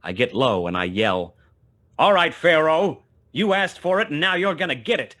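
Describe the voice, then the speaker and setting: An actor playing a world-weary, wry, tough private detective who narrates a 1920s hard-boiled radio play in a clipped, dramatic manner. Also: male voice, fairly high pitch, lively intonation, normal speed, a bit bright. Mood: urgent.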